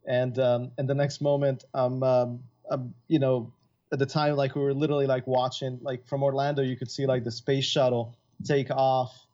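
A man from Italy who moved to Florida as a teenager, chatting casually as an interview guest in a halting, filler-filled way. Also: mid-range voice, little pitch movement, warm tone, muffled recording. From Florence, Italy, and from his teens Florida. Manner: halting, chatty, peppered with "like" and "you know". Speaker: podcast guest, composer and filmmaker. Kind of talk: casual, anecdotal interview talk.

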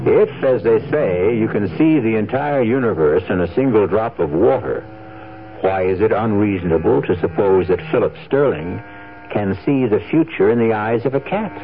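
An actor as a wry, ominous host narrating a radio mystery in a measured, theatrical way, on a narrow old recording with music behind. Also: male voice, on the low side, animated, slight background noise.

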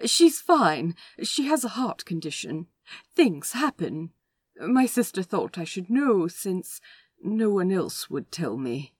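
Woman playing soft-hearted polite guy in an audio drama, husky, very expressive, close to tears. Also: on the low side, takes it slowly, dry recording, exaggerated voice. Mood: choked, grieving. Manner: polite, genteel, old-fashioned phrasing. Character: gentle, tearful, mannerly man, holding back grief.

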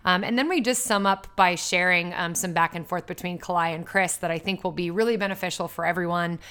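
A woman's voice: lowish voice, brisk, fairly bright tone, smooth. A woman hosting a podcast, upbeat and chatty, with plenty of filler words as she introduces and questions a guest. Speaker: podcast host. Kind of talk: casual podcast interview.

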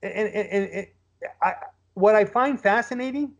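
A man's voice: sits high, normal speed, vocal fry. A man speaking as a podcast guest in casual, opinionated discussion, using rhetorical questions and mimicked quotes to argue his points. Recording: dull lo-fi audio.

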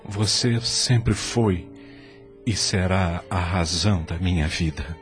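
A man's voice: deep voice, slow pace, faint music behind. A Brazilian man playing a repentant, devoted husband in a radio soap, solemn and heartfelt. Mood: emotional, loving.

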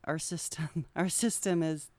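Low-voiced middle-aged woman, close dry sound. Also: fairly even intonation, a little slow, warm tone, dry recording. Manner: candid, informal, swears casually. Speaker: podcast guest, social worker. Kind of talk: candid conversational interview.

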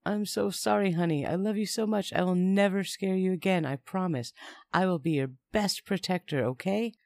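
A man's voice: a little high, very animated, fast, a little mellow, no room sound, studio quality. A man voicing a volatile, bullying man in a narrated story, emphatic and overwrought in his delivery. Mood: pleading, remorseful. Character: volatile, bullying man, swinging to gushing remorse.

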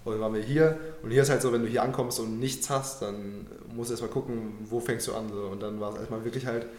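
A young man speaks quickly and earnestly, his words tumbling out with filler words and slangy turns as he reflects. His voice sits at an ordinary middle pitch. The room around him rings a little. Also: fairly even intonation, a little mellow, reverberant. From Germany. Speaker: guest. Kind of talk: casual personal interview.

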